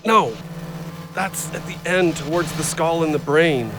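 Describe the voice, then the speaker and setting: An actor in an audio drama playing an agitated, rambling injured logger who talks in insistent, over-precise detail. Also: male voice, on the high side, measured pace, background noise, natural voice. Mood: fixated, insistent.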